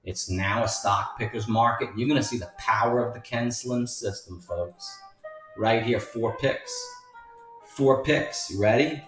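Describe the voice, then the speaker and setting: A man's voice: mid-range voice, unhurried, a bit bright. A man giving an informal stock chart walkthrough as a trading educator, emphatic and repetitive in how he makes his points. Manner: emphatic, repetitive, addressing viewers as folks. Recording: roomy echo, distant mic, faint music.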